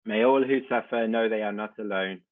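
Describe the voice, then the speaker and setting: A man's voice: on the low side, brisk. Male service leader reading liturgy, recorded in narrow, phone-like audio. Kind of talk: liturgical reading, prayer leading. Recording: thin phone-like sound.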